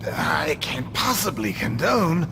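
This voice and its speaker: An actor playing an anxious, deferential scientist, hesitant and dismayed, in a video game. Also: male voice, fairly high pitch, sing-song, slow pace, creaky, background noise, heavily acted. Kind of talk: melodramatic video game acting. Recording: music underneath.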